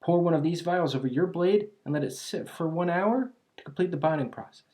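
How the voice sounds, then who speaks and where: A voice actor playing a clumsy, cheerfully eccentric alchemist shopkeeper in an ASMR roleplay, chatty and absent-minded, halting and trailing off. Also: medium pitch, expressive, warm tone, lightly acted. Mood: eager salesmanship. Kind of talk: ASMR roleplay, scripted character acting.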